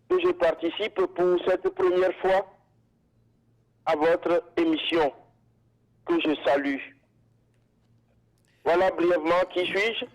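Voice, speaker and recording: A man from Togo, a panelist, giving a formal, solemn self-introduction over a video call. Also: sits high. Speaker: panelist guest. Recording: dull lo-fi call audio.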